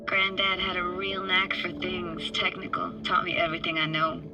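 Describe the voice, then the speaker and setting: A woman's voice: on the low side, flat delivery, moderate pace, lightly acted, poor audio. An actress playing a nostalgic, playfully wry young techie woman in a video game, talking in casual, clipped slang. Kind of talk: naturalistic video game acting. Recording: music and underwater sounds behind.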